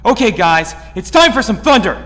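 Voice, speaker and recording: A man's voice: high-pitched, big pitch swings, normal speed, very noisy. An actor playing a brash, boisterous young male superhero leader in an audio drama. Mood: rousing. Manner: boisterous, hammy battle calls. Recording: distant, under loud music.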